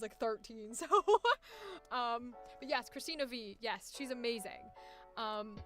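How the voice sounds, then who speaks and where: Bright high-voiced young woman chatting. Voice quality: high-pitched. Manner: chatty, laughing, trying out squeaky character voices. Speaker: voice actor streaming. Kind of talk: casual live-stream chat about voice acting.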